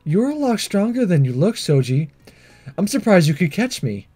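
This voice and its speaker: Man putting on a high-pitched shy schoolgirl. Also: sing-song, moderate pace, warm tone, soft background music. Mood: surprised. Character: shy, earnest, studious teenage schoolgirl class representative. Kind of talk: visual novel dialogue read-along.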